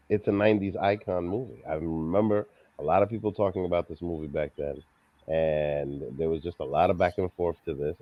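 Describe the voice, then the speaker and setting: A man's voice: low-pitched, dry recording, lo-fi sound. A man hosting a movie review show, introducing the panel and chatting casually about films.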